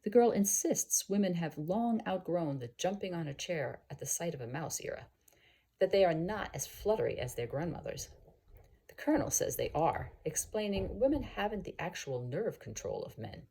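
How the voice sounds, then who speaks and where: A woman who works as a teacher reads a story aloud in an expressive, explanatory manner. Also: lowish voice, breathy edge. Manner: expressive, explanatory, pausing to gloss words. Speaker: teacher reading aloud for students. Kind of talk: scripted storybook read-aloud.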